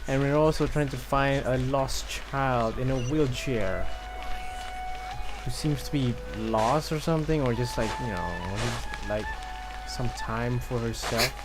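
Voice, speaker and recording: A man doing casual live commentary as a game streamer, hesitant and searching for words, with loud music behind him. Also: medium pitch, unhurried, rough recording.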